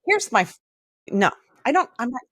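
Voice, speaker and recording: A woman's voice: a little high, big pitch swings, normal speed, fairly bright tone, dry recording, studio quality. A middle-aged woman co-hosting a podcast, talking candidly and casually in an opinionated, blunt way and swearing freely. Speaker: podcast co-host.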